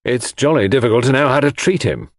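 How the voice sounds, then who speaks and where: A man voicing a weary, anxious upper-class country gentleman in an audiobook, polite and hesitant. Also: medium pitch, fast talker, no room sound, studio quality, voice pushed up, natural voice. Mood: weary, worried. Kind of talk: audiobook character acting.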